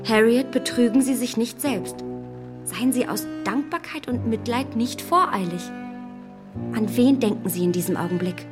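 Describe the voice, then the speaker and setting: An actress playing a confident, manipulative young gentlewoman in a period radio drama, with a poised, measured and insistent delivery. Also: fairly high pitch, over music, natural voice. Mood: coolly insistent.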